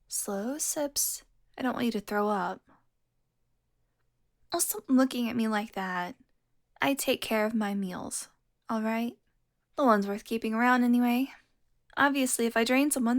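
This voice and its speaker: A woman playing a seductive, teasing vampire who is smugly tender toward her prey, in an intimate audio roleplay with breathy, slow murmuring. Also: fairly high pitch, natural voice. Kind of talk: intimate audio roleplay, addressed to one listener.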